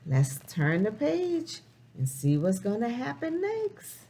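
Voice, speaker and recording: Slow, very animated woman reading to children. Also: a little high, a little hiss or hum. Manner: expressive, sing-song, with rhetorical questions. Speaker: children's storybook reader. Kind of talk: animated read-aloud for young children.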